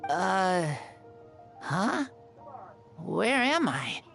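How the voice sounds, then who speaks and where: A woman's voice: medium pitch, very animated, very slow, vocal fry, a bit bright, exaggerated voice. A woman voicing a frail young child who talks hesitantly and stammers, in a video game. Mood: confused. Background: game music.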